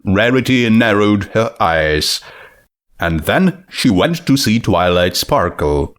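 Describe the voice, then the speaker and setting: A man's voice: on the low side, sing-song, takes it slowly. A man reading a piece of comic fiction aloud as its narrator, in scripted storytelling style.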